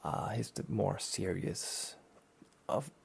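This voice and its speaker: A soft-spoken man with a breathy, gravelly voice, giving a casual, low-key review as a rambling, hesitant talk show host. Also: steady tone, slow.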